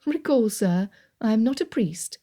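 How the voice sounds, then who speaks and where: A woman voicing a soft, witty young gentlewoman. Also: fairly high pitch, expressive, a little slow, pitched above the performer's own voice. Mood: soft teasing. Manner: soft, near-whispered.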